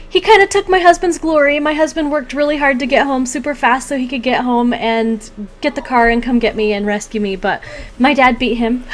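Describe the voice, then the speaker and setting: A woman in her thirties with a fairly high voice talks casually to camera like a home vlogger. Her delivery is chatty and animated, recorded in a room with faint background noise. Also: moderate pace. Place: small home room, indoors.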